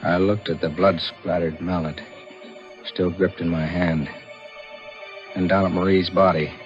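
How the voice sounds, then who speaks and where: A haunted killer in a 1940s radio thriller, narrating grimly in a very low voice. The recording is muffled, with faint music behind. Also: male voice, level tone, unhurried. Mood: dazed horror. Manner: grim first-person narration. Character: haunted male murderer narrating his crime.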